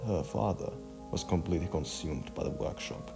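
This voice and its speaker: A man narrating a scripted story in a halting way, stumbling over words. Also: deep voice, music in the background.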